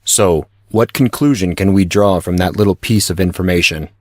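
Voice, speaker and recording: A man voicing a knowing teenage boy who explains things patiently in a measured, didactic way, in an audiobook story. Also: low-pitched, darkish tone, dry recording, studio quality, lightly acted. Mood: patient, pleased.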